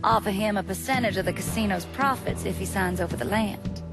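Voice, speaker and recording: An actress playing a composed, calculating upper-class schemer in a video game, measured and formal. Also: female voice, medium pitch, loud music behind the voice, natural voice. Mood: calm calculation.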